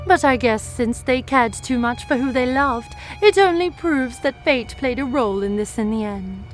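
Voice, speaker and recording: A woman's voice: on the high side, lively intonation, normal speed, soft background music, close to an everyday voice. A woman playing a smug, merciless female villain in an audio drama, pronouncing things in a haughty, taunting way. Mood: smug philosophizing.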